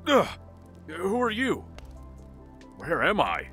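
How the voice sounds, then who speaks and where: An actor playing a dazed, exhausted man freed from captivity, speaking in a halting, confused way in an audio drama. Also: high-pitched, huge pitch swings, sharp tone, faint music behind, heavily acted.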